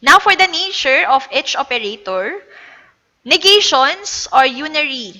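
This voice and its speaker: A Filipino woman lecturing, explaining patiently as she slips between English and Tagalog. Her voice is rather high and bright. The recording carries a long reverb. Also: animated, unhurried. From Philippines. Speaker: teacher. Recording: echoey reverb.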